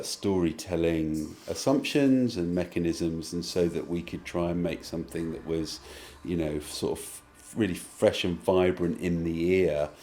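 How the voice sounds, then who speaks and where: A man's voice: sits low, a little mellow. A man giving a reflective interview answer, thoughtful and hesitant as he circles through rephrasings.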